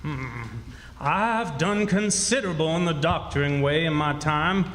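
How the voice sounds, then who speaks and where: A man playing a shifty, folksy backwoods quack preacher in a radio drama, with rustic, drawn-out talk. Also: fairly high pitch, fairly bright tone, a little hiss or hum. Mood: boastful. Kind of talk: old-time radio drama acting. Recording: echoey live hall, distant reverb.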